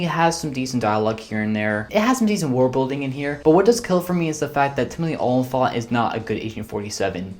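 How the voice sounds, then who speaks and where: A man giving casual, opinionated movie commentary as a video host, in an informal, conversational and emphatic way. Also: medium pitch.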